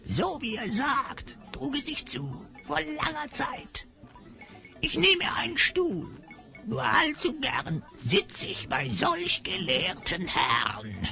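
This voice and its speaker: An actor playing a sly, flattering hyena-headed spy who talks theatrically in rhyme in a fantasy audio drama. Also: male voice, very high voice, takes it slowly, vocal fry, soft background music, heavily acted. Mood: mock-humble flattery. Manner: speaks theatrically in rhyme, obsequious.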